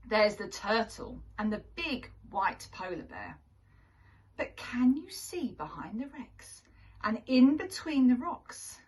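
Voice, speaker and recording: A woman reading a picture book aloud to young children as a teacher, in an expressive storytelling manner with deliberate pauses. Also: mid-range voice. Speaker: primary school teacher reading to pupils. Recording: distant microphone.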